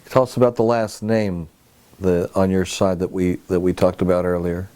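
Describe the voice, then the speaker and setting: A male interviewer with a low, mellow voice introduces his guest and asks questions in a measured, friendly way, with a few small restarts. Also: little pitch movement. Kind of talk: oral history interview. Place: guest's home.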